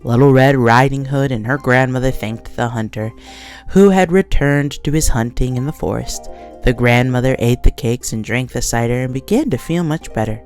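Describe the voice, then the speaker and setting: A woman narrating a scripted children's story as an expressive read-along storyteller. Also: extremely low pitch, normal speed. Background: soft music.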